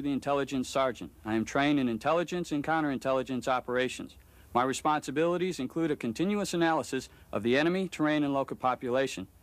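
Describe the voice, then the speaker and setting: A man's voice: medium pitch, no echo. A man serving as an army sergeant, reciting a formal scripted introduction of his duties.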